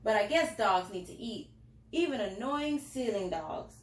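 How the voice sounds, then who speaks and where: A woman voicing a grumpy, solitary man with a soft heart from a storybook, in a gruff, complaining manner. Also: on the high side, lively intonation, a little slow. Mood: grudging. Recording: roomy, echoing indoor sound.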